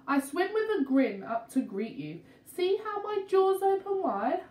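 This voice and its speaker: A woman's voice: very high voice, big pitch swings, a little slow, darkish tone, roomy sound, far from the mic, voice pushed up, heavily acted. A woman voicing a sneaky, falsely friendly male shark in a children's storybook, coaxing its prey. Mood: sly, luring.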